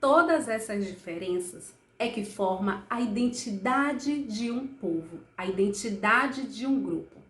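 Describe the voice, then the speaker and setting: Lively Brazilian woman teaching children. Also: fairly high pitch, measured pace, few pauses, echoey room. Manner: lively and coaxing, asks questions and answers them herself. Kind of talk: recorded lesson for children.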